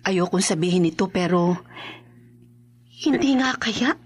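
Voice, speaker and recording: A woman's voice: fairly low pitch, expressive, measured pace, breathy edge. A woman playing a worried young mother and wife in a horror radio drama, earnest and hesitant. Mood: worried, suspicious. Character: anxious young mother and wife growing suspicious of her husband.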